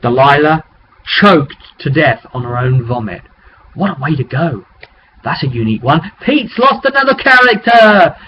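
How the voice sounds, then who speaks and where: A man's voice: fairly high pitch, sing-song, normal speed. A British man chattily recapping a tabletop roleplaying session as game master, in a casual, informal vlog style with asides to the viewer. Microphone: webcam microphone. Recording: muffled webcam audio with clear noise.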